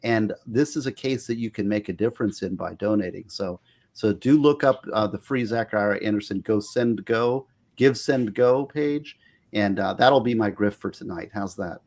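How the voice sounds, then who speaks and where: A man's voice: on the low side, moderate pace, darkish tone. A man appearing as a lawyer guest on a casual video-call livestream, talking in a folksy, rambling way and giving earnest advice. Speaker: trial and appellate lawyer guest.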